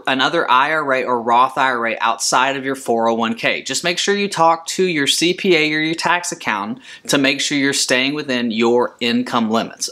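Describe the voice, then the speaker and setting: A man's voice: fairly high pitch, sharp tone. A man presenting a scripted financial explainer as an advisor and host, emphatically repeating his key points. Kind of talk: scripted explainer talk to camera.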